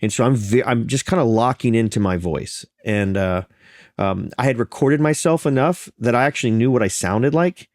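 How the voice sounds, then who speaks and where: A man's voice: medium pitch, normal speed, dry recording. Man, pastor, slightly congested nasal voice, earnest conversational storytelling, frequent restarts, dry close sound. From Florida. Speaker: pastor and podcast guest. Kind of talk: conversational interview.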